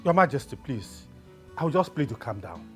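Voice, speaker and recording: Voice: medium pitch, very animated, normal speed, slightly rough, soft background music. An actor playing a royal adviser, pleading and placating.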